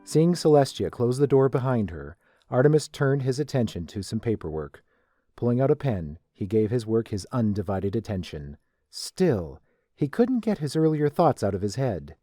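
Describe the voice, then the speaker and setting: A man's voice: mid-range voice, big pitch swings, moderate pace, dark tone, no echo. A man narrating a scripted story as the narrator. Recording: dry voice with music behind.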